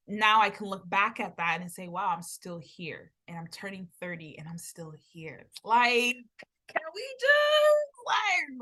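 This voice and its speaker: A woman of thirty, giving an impromptu casual vlog update in an animated, playful way, laughing often. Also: medium pitch. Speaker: podcast host.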